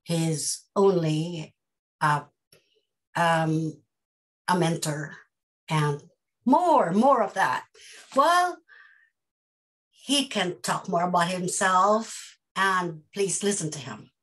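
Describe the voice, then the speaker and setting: A woman's voice: lowish voice, expressive, very slow, pauses often, fairly bright tone, poor audio. A middle-aged woman hosting a casual interview on a video call from home, playful and chatty, talking around her points. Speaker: host. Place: home room.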